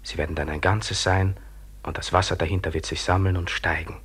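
An actor in a German radio drama playing an insistent companion who urges a trapped worker to endure, in short clipped phrases. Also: male voice, deep voice, level tone, fairly quick, fairly bright tone, husky, full character voice. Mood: coaxing, steady.

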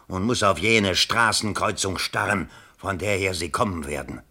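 A man's voice: on the low side, husky, exaggerated voice. An actor playing a desperate, vain middle-aged father and political candidate in a theatrical radio play. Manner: theatrical, pleading, swinging from hushed to frantic. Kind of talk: stage-like German radio play acting.

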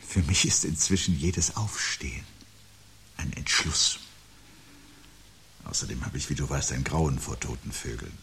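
A man's voice: a bass voice, expressive, measured pace, gravelly, pauses often, fairly bright tone, full character voice. An actor playing a weary, gloomy intellectual man in a radio play, speaking in a pensive, pedantic and declamatory way. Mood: weary, bitter. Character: gloomy, weary intellectual man who dreads birds and doom. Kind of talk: 1970s German radio play dialogue. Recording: hissy 1970s radio recording.

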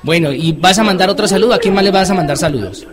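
A man with a notably high voice hosts a children's call-in show. He fusses over young callers with doting, exclamatory praise and gushing friendliness. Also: fast, a crowd in the background. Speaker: host of a children's program.

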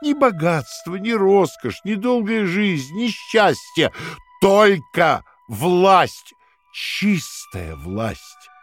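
An actor playing a calm, condescending party interrogator who lectures in a measured, fanatical way in an audio drama. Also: male voice, sits high, soft background music, exaggerated voice. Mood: fervent, preaching. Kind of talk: dramatic audio play acting.

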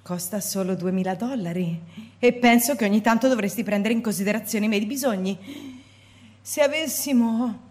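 An actress playing a listless, hungover wife who talks in a breathy, half-laughing, whiny way in a radio drama. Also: female voice, mid-range voice, moderate pace, pauses often, darkish tone, lightly acted. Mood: wheedling. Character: listless hungover wife, adult woman, drifting and self-absorbed.

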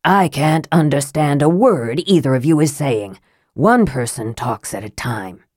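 A woman voicing a cold, sardonic young male mage who speaks dryly, flatly and curtly in a lowered voice. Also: unhurried, dry recording. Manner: dry, flat and clipped.

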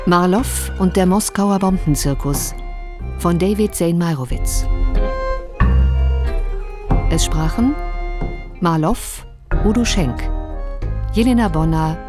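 A woman's voice: fairly low pitch, slow pace, long pauses, over music. A woman reading scripted closing credits as a radio announcer.

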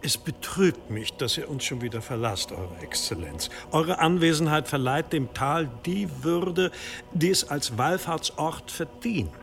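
An actor playing a deferential, fussing head of a monastery in a German audio drama, obsequious and courtly. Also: male voice, fairly high pitch, animated, unhurried, gravelly, soft background music. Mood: flattering.

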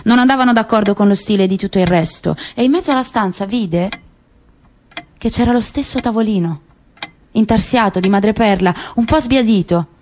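A woman narrating a literary story for radio, slow and lingering with dramatic pauses. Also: mid-range voice, darkish tone, a little hiss or hum.

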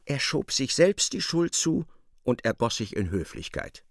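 A man giving expressive, articulate literary narration for a radio play, close on a studio microphone. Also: mid-range voice, measured pace, dry recording.

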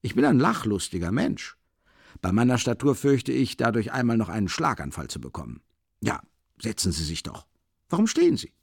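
A man's voice: sing-song, moderate pace, vocal fry, husky, no room sound, studio quality, exaggerated voice. A man voicing a stout, nervous, giggly middle-aged male magistrate who talks in a fussy, ingratiating way. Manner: fussy, ingratiating, jumping up and down in pitch.

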